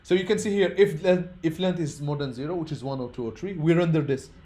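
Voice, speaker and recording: A man's voice: a little high, fast talker, dark tone. A man teaching programming informally as a non-native English speaker, explaining step by step, repeating words and checking that people follow. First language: not English, which is a third language. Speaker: programming instructor.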